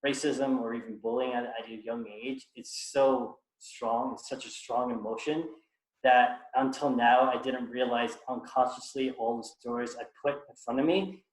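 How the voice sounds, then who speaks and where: A man's voice: mid-range voice, fairly even intonation. Earnest man on an echoey call. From United States. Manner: earnest, halting, many restarts. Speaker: guest speaker. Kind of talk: reflective personal talk on a video call.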